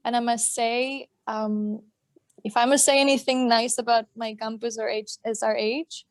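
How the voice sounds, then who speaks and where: A woman's voice: a little high, flat delivery, moderate pace, no echo. A young woman from Andalusia in southern Spain with a Spanish accent, chatting casually on a video call from her workplace as a student guest, with hesitant fillers and restarts. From Jerez, Andalusia, Spain. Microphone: video call from work.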